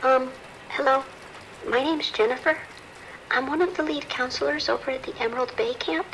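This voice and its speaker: A woman's voice: high voice, moderate pace, a bit bright, a little hiss or hum, poor audio. A woman playing an earnest, hesitant camp counselor making a report in an audio drama. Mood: hesitant, concerned. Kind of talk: naturalistic audio drama acting.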